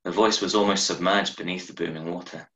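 Low-voiced man reading a story, roomy sound. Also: steady tone, brisk, sharp tone. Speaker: reader of a short story. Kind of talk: expressive audiobook-style story narration. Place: small room at home, indoors. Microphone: video call from a desk, wearing earphones. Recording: roomy, echoing home webcam recording.

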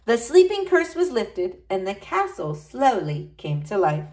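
Animated woman reading a children's storybook. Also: mid-range voice, a little slow. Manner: expressive, addressing young children. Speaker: school librarian reading to children. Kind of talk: children's storybook read-aloud.

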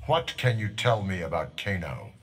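A man's voice: low-pitched, sing-song, normal speed. An actor playing a stern male cyborg police officer who talks in a formal, dutiful way, in video game dialogue. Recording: game audio with loud music behind.

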